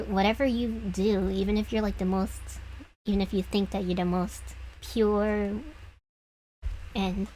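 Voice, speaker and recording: A young woman streaming as she draws, talking softly and lower than usual, hesitant and often trailing off. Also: slight background noise, poor audio. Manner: soft, hesitant, trailing off with restarts. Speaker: streamer drawing commissions. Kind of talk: casual reflective chat while drawing.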